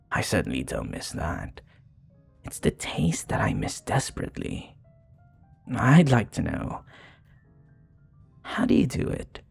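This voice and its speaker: Casting: male actor for a flirty yet remorseful vampire bartender in ASMR roleplay; husky, soft-spoken, confiding, with widely swinging intonation and music underneath. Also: exaggerated voice. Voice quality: breathy, husky low voice. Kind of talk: intimate ASMR audio roleplay.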